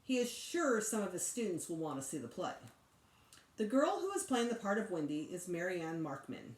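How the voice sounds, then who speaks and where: A woman reading a children's story aloud in an expressive storytelling style, like a teacher. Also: lowish voice, moderate pace. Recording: roomy echo.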